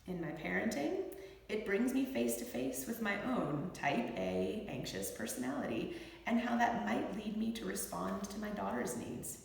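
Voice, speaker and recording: A middle-aged woman, a physician, reading her own book aloud in an expressive, measured and deliberate way. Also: medium pitch, gravelly. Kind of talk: expressive audiobook-style read-aloud. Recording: very echoey room with long reverb.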